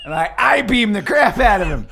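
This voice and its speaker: A man with a high, lively voice plays a tabletop game. He calls out his moves in loose, joking conversation, with plenty of rise and fall. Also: moderate pace, gravelly, a little hiss or hum. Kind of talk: casual joking game banter.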